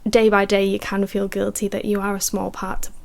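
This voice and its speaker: A woman's voice: mid-range voice, monotone, a little fast, vocal fry. A woman in her twenties giving earnest interview answers, with a habit of saying "like". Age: 20s. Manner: earnest, uses filler "like".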